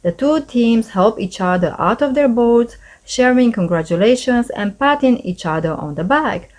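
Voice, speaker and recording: A woman reading a children's storybook aloud as scripted narration, in an expressive storyteller's manner. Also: fairly high pitch, normal speed. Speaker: storybook narrator, channel host.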